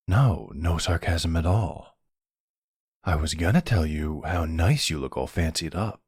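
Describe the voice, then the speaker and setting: A man playing a flirty, smug vampire in an intimate ASMR roleplay, taunting and seductive, with a low, gravelly, husky voice. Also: dry recording, studio quality, heavily acted. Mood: flirty, amused. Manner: slow, taunting, seductive drawl. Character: flirty, smug male vampire, predatory and teasing.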